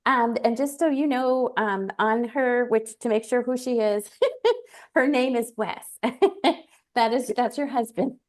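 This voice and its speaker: Woman emcee, bubbly and giggly, fairly high voice. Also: takes it slowly. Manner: bubbly, laughs often, gushing. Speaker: emcee and interviewer. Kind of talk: casual interview.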